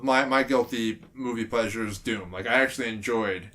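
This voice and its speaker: Male panelist, middle pitch, casual opinionated podcast talk, somewhat halting and repetitive. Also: sharp tone.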